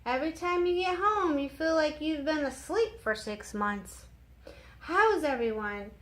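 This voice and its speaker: A hearty young sailor from a storybook , acted out by a woman reader, pitched high and exclamatory. He is blunt and exuberant, with a loud, unhurried delivery. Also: animated. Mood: eager, curious.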